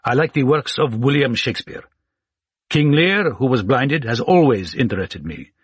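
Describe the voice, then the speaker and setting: A man voicing a blind older male Egyptian scholar who is wise and witty, speaking in courteous, measured, formal phrases. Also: mid-range voice, animated, husky, no room sound, clean studio sound. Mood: calm.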